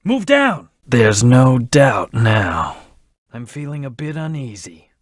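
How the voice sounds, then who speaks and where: A man's voice: medium pitch, very animated, slow pace, no room sound, studio quality. A man voicing a brooding, terse hedgehog antihero in a video game, with a serious, clipped, commanding delivery. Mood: urgent, commanding, then ominous. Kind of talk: video game character voice lines.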